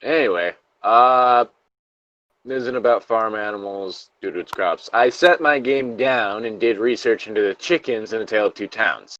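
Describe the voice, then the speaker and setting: A man's voice: fairly low pitch, moderate pace, fairly bright tone, dry recording, dull audio. A man co-hosting a casual read-along of internet horror stories, jokey and given to swearing between lines. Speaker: co-host reading internet horror stories aloud. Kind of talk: casual story read-along with joking asides.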